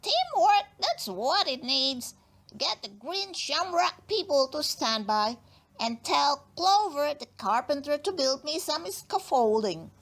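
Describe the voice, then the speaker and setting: A woman's voice: sits high, very animated, voice pushed up, exaggerated voice. A woman voicing a grumpy, bossy little male dentist gnome who barks orders sharply in a storybook reading. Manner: sharp, commanding, brisk.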